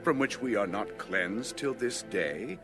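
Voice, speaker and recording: A man's voice: on the low side, expressive, a little slow, over music. A man voicing a stern priestly envoy in a dramatized audio Bible, speaking in a grave, accusing, declamatory way. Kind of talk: dramatized scripture reading.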